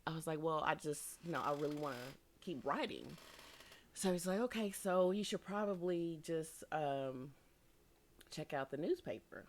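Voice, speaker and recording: Deep-voiced woman telling a laughing story. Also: moderate pace. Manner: laughs often, animated storytelling. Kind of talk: casual chat.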